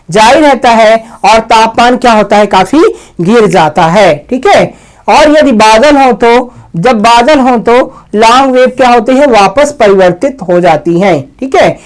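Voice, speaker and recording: A man's voice: very high voice, measured pace. A man lecturing to students, explaining point by point, asking rhetorical questions and answering them himself, often repeating each point. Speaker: teacher giving an agriculture science lecture.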